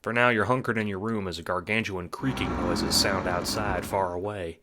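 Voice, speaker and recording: A man's voice: deep voice, fairly even intonation, normal speed, vocal fry, heavy background noise. A man narrating an audio drama in the second person with a wry, theatrical, sardonic manner.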